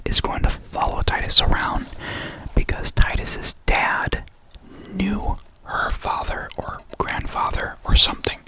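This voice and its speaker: A young adult ASMR creator with a lisp who stutters now and then, rambling casually in a soft unvoiced whisper close to the mic. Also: low-quality recording.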